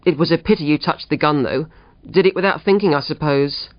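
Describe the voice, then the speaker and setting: A woman's voice: low-pitched, fairly quick, no echo, dull audio, lightly acted. A woman reading a story, voicing a reassuring, matter-of-fact male police inspector.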